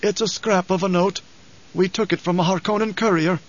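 A man voicing a loyal old advisor in an audiobook, grave and deferential, with a measured, formal, hesitant delivery. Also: sits high, steady tone, dull audio, pitched above the performer's own voice. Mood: grave, careful. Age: older.

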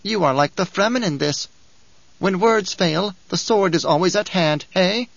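A man's voice: high voice, normal speed, creaky, fairly bright tone, dry recording, muffled recording, pitched above the performer's own voice, exaggerated voice. A man reading an audiobook, voicing a whining, spiteful male dwarf who taunts and giggles. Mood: mocking.

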